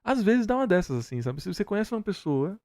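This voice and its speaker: A man's voice: high-pitched, sing-song, fast, darkish tone, dry recording, clean studio sound. A Brazilian man giving casual, opinionated commentary in an emphatic, slangy way, as a reaction host. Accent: Brazilian Portuguese.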